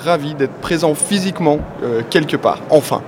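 Man, Belgian French accent, interview guest, eager sales pitch, many fillers, slightly high voice, noisy background. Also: normal speed. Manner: enthusiastic, salesy, frequent "euh" fillers. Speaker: company adviser, interview guest. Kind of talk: conversational interview answers. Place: event venue, among people. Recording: clear background noise.

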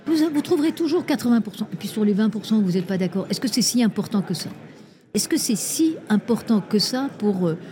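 A woman's voice: medium pitch, expressive, a little fast, warm tone. A woman originally from Iran, a guest in an interview, speaking earnestly and persuasively, laying out figures with care. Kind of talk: conversational interview.

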